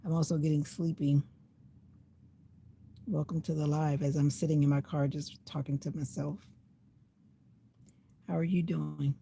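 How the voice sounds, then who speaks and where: An older woman with a slightly raspy voice rambles casually on a livestream, sitting in her parked car while it rains. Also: low-pitched, little pitch movement, a little slow, pauses often, warm tone, a little hiss or hum. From USA. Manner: rambling, chatty, talking to herself. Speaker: vlogger. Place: inside a parked car in the rain.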